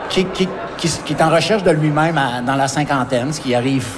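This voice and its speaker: Québécois man in his fifties, hesitant interview talk, background noise clearly audible. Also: a little high. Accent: Québécois French. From Quebec. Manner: halting, many restarts and fillers. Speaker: author and forest firefighter. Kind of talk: radio interview.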